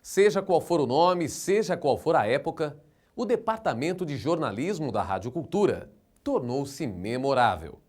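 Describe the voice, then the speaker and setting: A Brazilian man announces in a dramatic, scripted style. His voice sits slightly high and swings widely in intonation. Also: very animated, normal speed, a little mellow. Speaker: documentary announcer.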